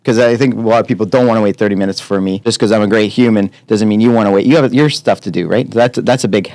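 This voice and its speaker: A man in his late thirties, a podcast guest chatting casually in a blunt, profane, animated way. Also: lowish voice, fast, no room sound. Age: 30s. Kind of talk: casual chat.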